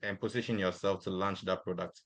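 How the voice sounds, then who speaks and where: A man hosting a podcast in casual interview chat, soft-spoken and asking questions between short agreements. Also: low-pitched, fairly even intonation, a little fast, no echo. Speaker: podcast host.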